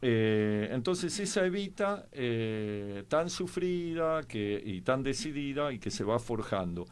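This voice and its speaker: A man's voice: mid-range voice, big pitch swings, slow pace, clear, resonant tone. An Argentine man giving conversational historical commentary as a programme panelist, with discursive, hesitant phrasing.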